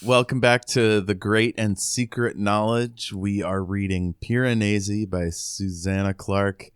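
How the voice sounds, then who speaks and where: A man's voice: lowish voice, steady tone, measured pace, clean tone, no echo, clean studio sound. A man co-hosting a podcast, talking casually about a book in a laid-back way. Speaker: podcast co-host.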